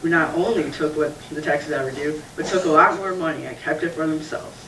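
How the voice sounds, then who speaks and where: A man with a high voice patiently tells a Bible story as a puppet character. He sounds far from the mic, in an echoey room. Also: brisk, pushed and bright, slight background noise. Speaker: puppeteer playing Carl. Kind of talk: church puppet show, Bible story telling.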